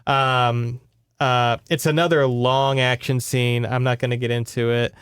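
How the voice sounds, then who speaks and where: A man's voice: medium pitch, level tone, a little slow, fairly bright tone, clear, resonant tone, no room sound, studio quality. A man hosting a podcast, giving a casual, rambling, sweary book report in conversation. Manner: informal, sweary, rambling storytelling.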